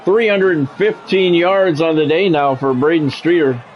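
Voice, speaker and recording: A man's voice: fairly high pitch, moderate pace, lo-fi sound, a little hiss or hum. A man doing football play-by-play for a video game stream in a conversational, blunt way. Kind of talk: football play-by-play commentary with casual reactions.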